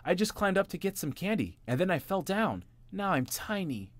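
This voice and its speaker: A man doing a squeaky little bunny boy. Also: moderate pace, no room sound. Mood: panicked. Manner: high excited childlike squeals. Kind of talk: storybook reading.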